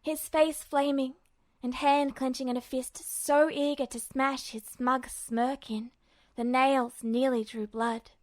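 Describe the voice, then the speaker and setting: Female fiction narrator, high-pitched, expressive scripted read, very dry sound. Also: slow, no room sound.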